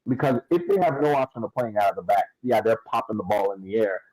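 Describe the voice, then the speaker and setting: A man on a podcast panel giving casual sports analysis, explaining in halting, emphatic phrases that he often repeats. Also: fairly low pitch, expressive, a little mellow, no room sound, low-quality recording.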